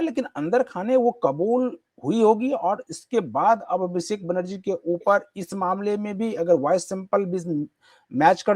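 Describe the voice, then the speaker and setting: A man's voice: high voice, darkish tone, no echo, clean studio sound. A man hosting a news interview, putting long, winding questions with careful qualifications. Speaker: news show host, interviewer.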